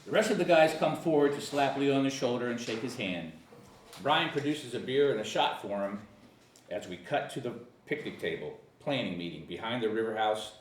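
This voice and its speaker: Animated male narrator in echoey room. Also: medium pitch, a little fast, distant mic. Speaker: narrator of screenplay directions. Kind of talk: scripted narration at a table read. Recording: echoey room, off-mic, faint hiss.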